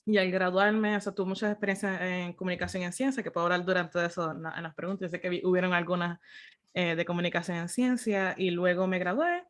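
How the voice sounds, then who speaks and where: A woman's voice: on the low side, fairly even intonation, fast. A woman in her thirties with a Puerto Rican accent, a science writer speaking casually and a bit hesitantly on a video call. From Puerto Rico.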